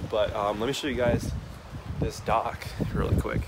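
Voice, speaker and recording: A man's voice: lowish voice, steady tone, normal speed, heavy background noise. A young man casually talking to camera while out fishing, upbeat and chatty with plenty of fillers, filmed on a handheld camera outdoors. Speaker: fishing vlogger. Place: outdoors on a wooden dock by the sea. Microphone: handheld camera at arm's length.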